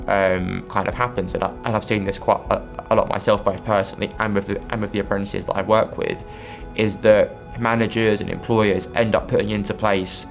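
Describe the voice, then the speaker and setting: A young British man with a stammer, speaking in a documentary interview with pauses and repeated words. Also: lowish voice, creaky. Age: young adult. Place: bright office by a window. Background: music.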